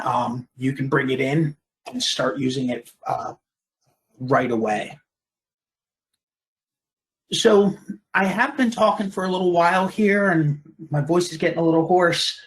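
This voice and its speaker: Guy doing a software webinar talk, voice a bit on the high side, explains it measured w little pauses. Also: poor audio. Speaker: webinar presenter. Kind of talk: software product presentation.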